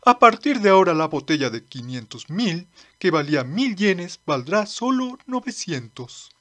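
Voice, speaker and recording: A man's voice: high voice, sing-song, measured pace, a bit bright, full character voice. A man voicing a pompous, greedy king who feigns kindness, declaiming grandly in a manga dub. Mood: grandly self-satisfied. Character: pompous, falsely kind, greedy male king.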